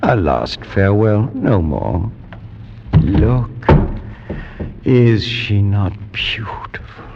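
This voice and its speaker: An actor playing a grief-crazed, morbid gentleman, the last of his line, in theatrical, tremulous 1940s radio horror style. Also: on the low side, sing-song, extremely slow, creaky, long pauses, heavy background noise, exaggerated voice. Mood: mournful, tender. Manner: theatrical, tremulous, swings from whispering to anguished outbursts. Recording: dull lo-fi 1940s radio recording.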